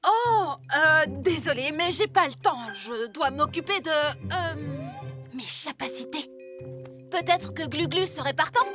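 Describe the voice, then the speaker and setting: An eager, headstrong little boy hero from a children's cartoon, speaks in a very high young voice. He sounds earnest, and music plays behind him. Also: expressive, a little slow. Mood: evasive. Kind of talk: animated children's cartoon dub.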